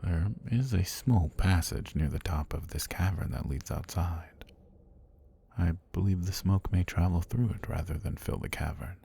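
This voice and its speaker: Casting: male actor as ancient giant snake deity for fantasy ASMR; very low, gravelly, unhurried, sinister and faintly amused. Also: slight background noise. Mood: languid, calm. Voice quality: husky, breathy, gravelly. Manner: slow, deliberate, formal, softly menacing.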